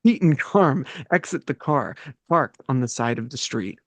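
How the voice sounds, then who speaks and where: A man reading the stage directions of a script at a table read, steady and matter-of-fact. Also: medium pitch, unhurried, dry recording.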